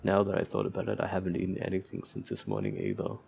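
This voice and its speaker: A man's voice: sits low, very animated, quick pace, creaky, a little mellow, slightly rough. A man doing casual gameplay commentary, reading game text aloud and sometimes stumbling, recorded with a slightly dull lo-fi sound. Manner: mock-despairing asides, stumbles while reading. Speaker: gaming video host.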